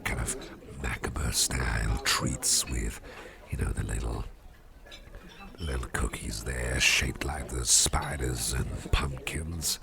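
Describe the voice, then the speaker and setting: A man playing a jovial, impish old devil boss in a soft, rambling, genial ASMR roleplay chat with a gravelly voice. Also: fairly even intonation, heavily acted. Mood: musing, genial. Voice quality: gravelly, fry-laden deep voice. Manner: unhurried, rambling, genial, with repeated phrases. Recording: party crowd murmur behind voice. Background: party crowd chatter.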